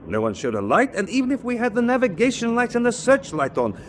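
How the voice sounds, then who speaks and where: An actor playing a defensive, resentful U-boat watch officer in a wartime radio drama, speaking formally. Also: male voice, extremely high pitch, sing-song, quick pace, slight background noise. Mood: indignant.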